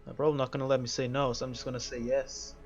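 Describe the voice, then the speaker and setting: A man's voice: mid-range voice, level tone, very fast. A man giving casual, hesitant let's play commentary as he reacts to a game. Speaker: gamer playing and reacting. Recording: dull lo-fi mic over game music.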